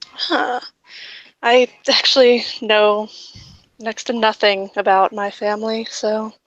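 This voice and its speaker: A young adopted woman speaks slowly and softly, trailing off with hesitation. The recording is dull and dry. A woman plays her in her own voice. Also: on the high side, level tone, no room sound. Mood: wistful.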